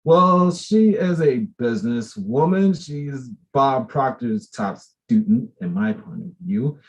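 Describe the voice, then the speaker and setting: A man's voice: mid-range voice, lively intonation, takes it slowly, poor audio. A man hosting an interview show, effusive and chatty as he welcomes his guest. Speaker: host.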